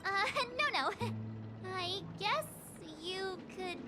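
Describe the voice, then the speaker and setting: A woman's voice: very high voice, animated, extremely slow, exaggerated voice. An actress playing an earnest, flustered young woman in a video game. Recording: game audio with loud music.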